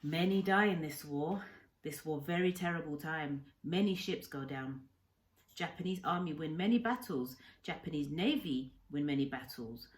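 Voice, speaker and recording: A woman voicing a kindly old Japanese castaway doctor in a storybook, speaking earnestly in short, halting, simplified English sentences. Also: deep voice, lightly acted. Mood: grave, sorrowful.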